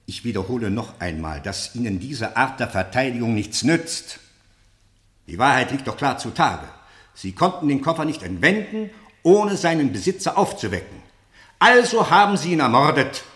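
Stern, probing interrogator, very expressive intonation. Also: male voice, mid-range voice, reverberant. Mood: pressing, accusing. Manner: formal, deliberate, insistent, pointed questioning. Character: stern, probing male interrogator, sceptical and relentless. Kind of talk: German radio play acting, interrogation drama.

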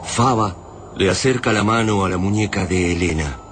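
A man's voice: low voice, normal speed, noisy background. A man with an Argentine Rioplatense accent giving dramatic scripted narration for a radio drama. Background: prominent dramatic music.

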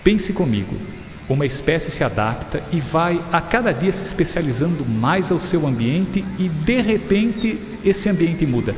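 A Brazilian man playing a learned gentleman naturalist who explains things in a measured, didactic way in a children's radio drama. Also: on the high side, very animated. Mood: patiently explaining. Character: Charles Darwin, learned gentleman naturalist patiently explaining evolution. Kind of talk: radio drama acting for young listeners. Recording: echoey, long hall reverb.

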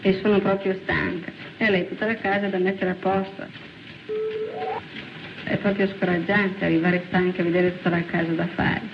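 A woman's voice: on the low side, flat delivery, normal speed. A woman describing her everyday routine in a plain testimony style. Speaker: working woman with housework. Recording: noisy location recording. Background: clear background noise.

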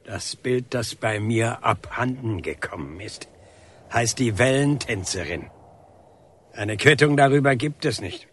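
A man acting in a radio drama as an aggrieved older painter, husky, deliberate and insistent, with grunting hesitations. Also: medium pitch, expressive, a bit bright, a little hiss or hum. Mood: accusing. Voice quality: husky, rough. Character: aggrieved, defiant older painter confronting a policeman.

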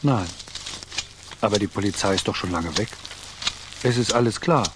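A man's voice: on the low side, moderate pace, close to an everyday voice. An actor playing a curt, defensive estate employee, loyal to his boss, in a radio crime drama. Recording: clearly noisy background.

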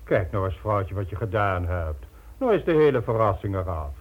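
Smug, urbane crime boss, lively intonation. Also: male voice, deep voice, normal speed. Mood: mocking politeness. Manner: pompous, mockingly polite, condescending. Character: smug, urbane male crime syndicate boss posing as philanthropist. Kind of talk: radio play acting. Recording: muffled old radio drama recording.

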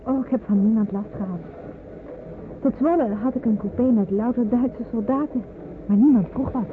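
A woman's voice: a little high, normal speed, narrow, tinny audio, lightly acted. A woman playing an earnest, uneasy young woman in a radio drama, hesitant and polite, on a noisy old recording. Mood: polite, curious. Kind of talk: Dutch radio drama acting.

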